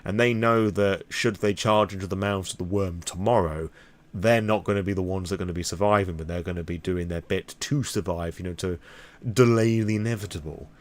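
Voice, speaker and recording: A man's voice: low-pitched, steady tone, brisk. A British man rambling candidly and off the cuff in a vlog, opinionated and swearing freely. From Britain. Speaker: vlogger on tabletop roleplaying lore.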